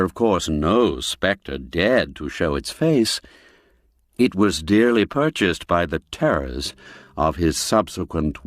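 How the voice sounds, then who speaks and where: A man narrating a classic literary tale as an audiobook, with expressive, measured, theatrical phrasing. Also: fairly low pitch.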